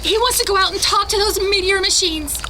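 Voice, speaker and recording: A woman's voice: very high-pitched, fairly quick. A woman acting in a radio drama as a frantic young woman pleading urgently for her uncle. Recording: radio play with noisy background.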